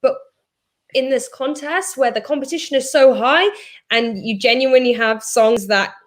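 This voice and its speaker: A young woman chatting casually as a co-host on a panel, lively and quick to laugh, heard over a video call. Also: sits high.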